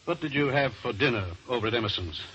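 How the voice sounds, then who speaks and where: An actor playing a genial, persistent doctor in a 1940s radio drama, brisk and probing in manner. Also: male voice, mid-range voice, a bit bright, husky. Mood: probing, breezy. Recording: noisy, dull old radio recording.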